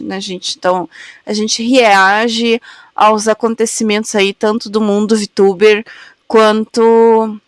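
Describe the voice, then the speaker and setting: A woman's voice: mid-range voice, clean tone, dry recording. A woman hosting a react channel, chatting affectionately with viewers while she has a cold. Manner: chatty, affectionate, slow and drawn-out. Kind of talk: casual chat to viewers.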